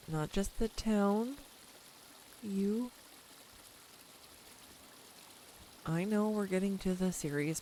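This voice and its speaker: A woman's voice: mid-range voice, a little mellow, a little hiss or hum, lightly acted. A young woman acting a tender, nervous childhood friend come home, in an intimate, hesitant audio roleplay monologue. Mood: wistful, reflective. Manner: hesitant, reflective, confiding, with pauses. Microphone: close USB desktop microphone.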